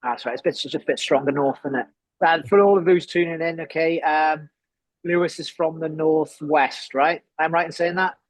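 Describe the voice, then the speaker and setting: A man's voice: fairly high pitch, fairly even intonation, moderate pace, no echo. A man from southern England near Wales, casually hosting a webinar and introducing a guest over a video call. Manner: friendly, filler-heavy and informal. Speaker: webinar host. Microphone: computer mic on a video call.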